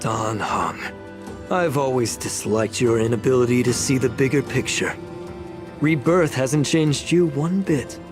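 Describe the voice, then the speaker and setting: An actor playing a scheming, self-righteous clan elder villain in a video game, speaking in a haughty, theatrical, formal way. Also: male voice, mid-range voice, unhurried, close to an everyday voice. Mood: scornful. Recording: game music behind the voice.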